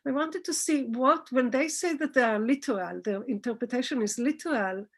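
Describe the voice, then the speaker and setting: High-voiced woman scholar searching for words. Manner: halting, searching for words, rephrasing often. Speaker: scholar and author answering questions. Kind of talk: academic talk answering audience questions.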